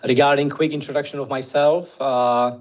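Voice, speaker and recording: A man with a Czech accent speaking in English at a steady, mid-pitched level. He presents formally and pauses often with small hesitations. The video-call audio sounds dull and slightly muffled. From Czech Republic. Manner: measured, with frequent hesitations. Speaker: conference presenter.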